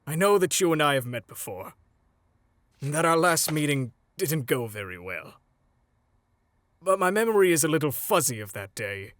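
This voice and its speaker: A man performs an earnest older hero for a comic dub, fatherly and pleading. His delivery swings widely in pitch, on the higher side for a man. The sound is dry and close. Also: a bit bright, no echo. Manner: earnest, dramatic, measured pleading. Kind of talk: fan comic dub voice acting.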